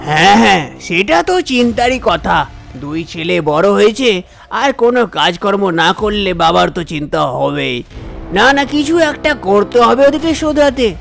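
A solicitous village priest acted by a man in a cartoon tale, giving firm advice. The voice is high for a man and a little creaky. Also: big pitch swings, normal speed, a bit bright, faint music behind, heavily acted. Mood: concerned. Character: concerned, advising male village temple priest. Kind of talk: Bengali cartoon story dialogue.

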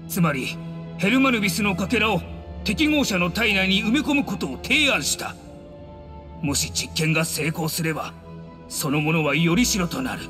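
A high, bright old man's voice, swooping with emotion. He is a world-weary game scholar, guarded and regretful in his old-fashioned phrasing, with faint music behind him. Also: heavily acted. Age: older. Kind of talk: dramatic video game acting.

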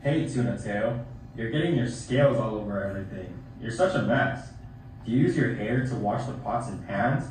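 A man's voice: fairly low pitch, lively intonation, moderate pace, darkish tone, close to an everyday voice. A man voicing a mocking, boastful schoolboy bully in an amateur puppet show. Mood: taunting. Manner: taunting, sneering. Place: theater, camera far from puppet stage. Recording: distant echoey theater recording, faint noise.

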